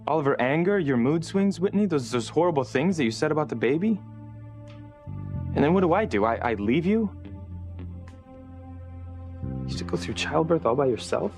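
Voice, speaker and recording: A man's voice: fairly high pitch, lively intonation, moderate pace, long pauses, over music. A man acting in a soap opera as an impulsive, earnest father, insistent and emotional. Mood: remorseful. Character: intense, demanding young father, impulsive and earnest.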